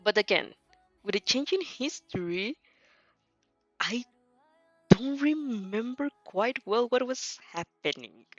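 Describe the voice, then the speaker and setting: A woman's voice: on the high side, animated, takes it slowly, long pauses, no echo. A young woman who speaks English as a second language, chatting casually and hesitantly as she hosts a stream, often correcting herself. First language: not English. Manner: hesitant, giggly, self-correcting. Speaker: host reacting to fan fiction. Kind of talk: casual, rambling stream chat. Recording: dull lo-fi mic, faint music behind.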